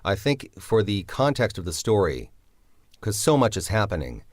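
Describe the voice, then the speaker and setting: A man with a low voice explains in an interview how he shaped his story. He speaks thoughtfully and pauses with fillers. The recording is dry and close. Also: sing-song, no room sound. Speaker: series writer and creator. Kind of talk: interview answer.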